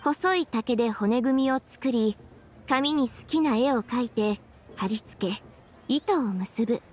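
A woman's voice: on the high side, measured pace. An actress playing a thoughtful young woman official in an anime game, composed and articulate as she explains things. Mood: pleased, reflective. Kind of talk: anime video game voice acting.

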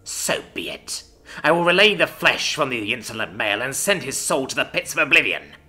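Haughty female dark elf, man acting, sadistic, sneering, snarling, sharp voice, fantasy story. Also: mid-range voice, big pitch swings, normal speed, breathy edge, heavily acted. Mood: vengeful.

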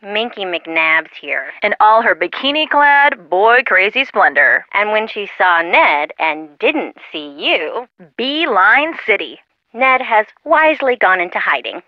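A woman's voice: medium pitch, very animated, a little slow, dry recording. An actress voicing a breezy, sardonic teenage American girl gossiping on a phone call in a video game. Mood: breezy, teasing. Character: chatty, teasing teenage American girl, loyal friend.

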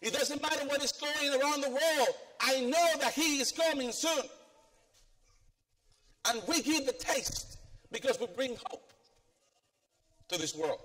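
Filipino preacher in an echoing church. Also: male voice, very high voice, a little slow, pauses often. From Philippines. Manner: animated, walks the stage, asks the congregation questions. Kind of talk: sermon. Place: church sanctuary, indoors, with congregation. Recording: long church reverb.

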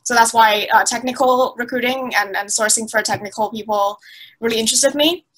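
A woman's voice: fairly high pitch, steady tone, brisk, a bit bright, poor audio. A woman in her twenties from Thailand, a guest on a video call, answering at length with fillers and restarts. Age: 20s. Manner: many fillers and restarts, thoughtful. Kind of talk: conversational interview answers. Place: small indoor room. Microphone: laptop webcam on a video call.

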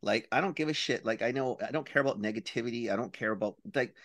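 A man's voice: medium pitch, fast, a bit bright, no echo. A middle-aged man co-hosting a podcast, blunt and emphatic, swearing freely, talking over a video call on a headset. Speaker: podcast co-host. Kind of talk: casual chat on a video call.

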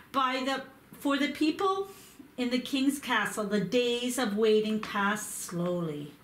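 A woman reading a picture book aloud, slow and careful in her storytelling. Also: on the high side. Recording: echoey room.

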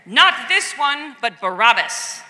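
A woman playing forceful, insistent Roman-era figures in a dramatic scripture reading, with emphatic, declamatory delivery. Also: on the high side, lively intonation, measured pace, exaggerated voice. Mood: insistent, accusing. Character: Roman governor and clamoring crowd, forceful and insistent. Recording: long echoing hall reverb.

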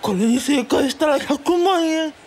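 A woman playing a flamboyant, excitable male TV quiz host in a radio drama, theatrical, teasing and gushing. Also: high voice, a little slow, a little hiss or hum. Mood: excited. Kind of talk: radio drama acting as quiz show emcee.

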